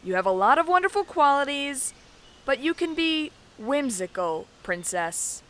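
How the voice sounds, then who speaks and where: A woman's voice: high voice, big pitch swings, a little slow, fairly bright tone, exaggerated voice. A woman playing a protective, wry female knight who guards a princess in a fantasy audio drama.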